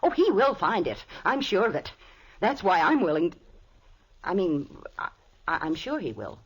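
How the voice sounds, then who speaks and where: A nervous young wife, flustered and pleading, her breathy voice rising and falling in lively swings. Classic old-time radio acting at a mid pitch. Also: normal speed, lo-fi sound. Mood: earnest. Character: anxious young wife of a reclusive professor.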